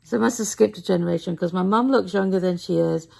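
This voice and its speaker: A middle-aged woman with a raspy, tired voice, chatting casually to camera in a rambling, self-deprecating daily vlog. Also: on the low side, fast talker, darkish tone. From United Kingdom. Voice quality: raspy, gravelly. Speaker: vlogger.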